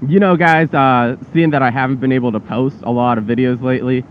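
A young man rides a scooter and talks in a relaxed, rambling way, full of ums and uhs. His voice sits in a middle register. It comes through a thin, phone-like helmet mic, with faint traffic and wind noise behind it. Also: medium pitch, brisk. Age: young adult. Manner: relaxed, rambling, frequent fillers. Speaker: motovlogger. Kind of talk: casual vlog narration while riding. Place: on a scooter on a suburban road outdoors.

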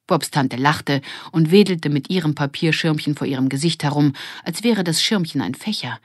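A woman's voice: low voice, normal speed, breathy edge, dry recording, studio quality. A woman narrating a scripted children's story as an audiobook reader.

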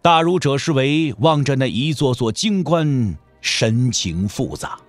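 A man's voice: medium pitch, expressive, measured pace, no echo, soft background music. A man giving dramatic scripted narration for an audio drama.

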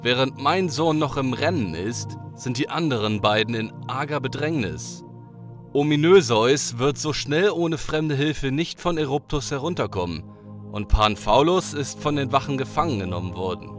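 A man's voice: medium pitch, normal speed, music in the background, lightly acted. A man playing a smug, scornful thunder god in an audio drama, haughty and mocking. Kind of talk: German audio drama acting.

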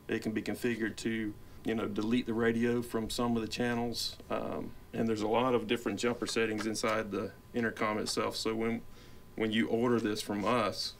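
Flat-toned man explaining a product. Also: lowish voice. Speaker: company product expert. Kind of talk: informal product walkthrough. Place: indoor office workbench. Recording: clear background room noise.

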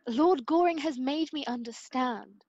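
Woman as an earnest English lady, higher-pitched. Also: unhurried, darkish tone, no echo, lo-fi sound. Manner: earnest, formal. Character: principled, devoted English lady, resolute wife. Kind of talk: radio play acting.

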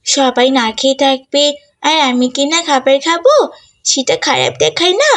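A woman's voice: sits high, animated, moderate pace, fairly bright tone. A woman voicing a lazy, cheeky cartoon schoolgirl who whines and pleads to get out of work. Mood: sheepish pleading. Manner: whiny, pleading, argumentative. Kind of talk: cartoon dialogue acting.

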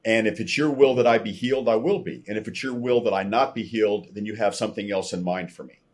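An older American man around sixty, telling his personal testimony as a podcast guest in an earnest, halting way over a video call from a room at home. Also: on the low side, husky. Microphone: video call, computer microphone at desk distance.

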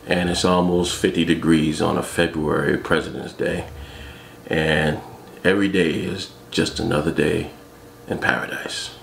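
A man in his forties talking casually to the camera about his new vlog, with a deep voice and an easygoing, jokey manner. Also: gravelly. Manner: relaxed, easygoing, jokey asides. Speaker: vlogger. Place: room at home, indoors. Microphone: external mic on top of the camera. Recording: echoey room with background noise.

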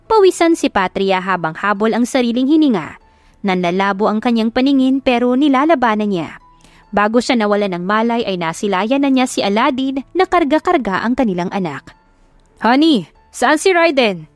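A woman's voice: on the high side, expressive, fairly quick, dry recording. Filipino woman narrating over music, close and dry. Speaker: audiobook narrator. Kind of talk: scripted dramatic narration of a romance story. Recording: music behind the voice, dry studio sound. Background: background music.

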